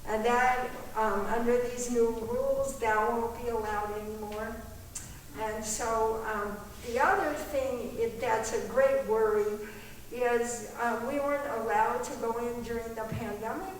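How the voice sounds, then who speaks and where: A woman's voice: on the high side, fairly even intonation, measured pace. A woman speaking informally as a panelist, candid and reflective in her delivery. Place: echoey meetinghouse hall. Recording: echoey hall, distant mic, faint noise.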